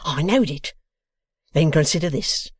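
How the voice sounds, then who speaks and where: A reader voicing an excitable, uneducated retired old man who exclaims loudly, mangles his words and swings through a wide range of pitch. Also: measured pace, husky, no room sound, clean studio sound. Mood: eager. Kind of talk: audiobook character reading.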